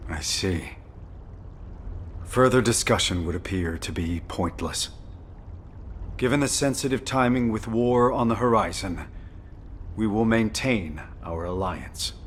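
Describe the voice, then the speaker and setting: An actor playing a stern, unyielding military executive officer in a video game, speaking in a formal, curt, authoritative way. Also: male voice, lowish voice, expressive, unhurried, long pauses, slight background noise. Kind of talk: video game story dialogue.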